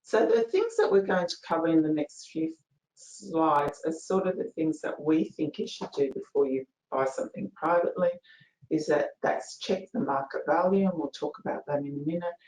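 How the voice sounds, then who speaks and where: A soft-spoken woman presents a legal information webinar, her voice low and quiet. She talks in a chatty, informal way with plenty of fillers. The sound comes through an echoey video call. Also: very animated, normal speed. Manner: chatty, with fillers and a ready laugh.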